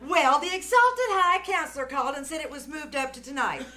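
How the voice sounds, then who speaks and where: Scheming middle-aged mom female actor, high bright voice, gushing and bossy, old-time radio comedy. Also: a little fast, soft background music. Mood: sweetly bossy. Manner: gushing, bossy, artificially innocent. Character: fussy, scheming matchmaking mother, middle-aged hostess. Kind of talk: 1940s radio comedy acting. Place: live community theater stage.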